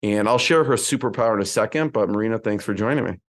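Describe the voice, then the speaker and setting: A man's voice: fairly low pitch, fast. A man hosting a podcast, introducing his guest in a chatty way with many ums. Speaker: podcast host. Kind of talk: conversational interview intro.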